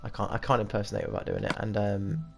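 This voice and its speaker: Man, 20s, British accent, gravelly fry, rambling casual chat, game music behind. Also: lowish voice, quick pace. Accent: British English. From England. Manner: rambling, chatty, laughs often. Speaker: gaming streamer.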